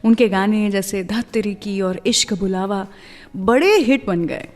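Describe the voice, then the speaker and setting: A woman's voice: medium pitch, a little slow, a little mellow, long reverb tail. An Indian woman presenting a scripted radio show, telling stories and addressing listeners directly, mixing in English phrases. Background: music.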